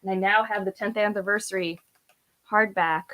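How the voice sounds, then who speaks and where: A woman's voice: medium pitch, steady tone, moderate pace, muffled recording. A woman in her thirties chatting casually and emphatically about books, rambling and opinionated. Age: 30s. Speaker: book vlogger on a read-along panel. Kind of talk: casual book chat.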